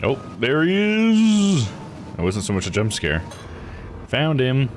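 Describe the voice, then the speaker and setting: A man streaming a game, giving casual playthrough commentary as he thinks out loud and reacts. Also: medium pitch, wildly swooping pitch, takes it slowly. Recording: game music behind the voice. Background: game music.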